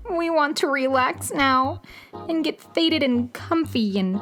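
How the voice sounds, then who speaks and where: A tired talking flower, put on by a woman in a children's radio tale. The voice is high and slow, a weary whine over faint music. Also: animated, a bit bright, heavily acted. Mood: weary, whiny. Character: tired pretty flowers wanting to wilt and relax.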